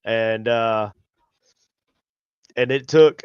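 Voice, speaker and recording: A man's voice: medium pitch, drawn-out words, clear, resonant tone, dry recording, dull audio. A man with a Southern US accent co-hosting a casual podcast chat, talking animatedly with stammered restarts and chuckles. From American South. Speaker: podcast co-host.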